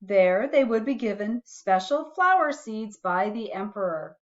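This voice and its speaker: A woman reading a children's storybook aloud expressively, adding musing asides to young listeners in a deliberate way. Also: mid-range voice, lively intonation, highs cut off.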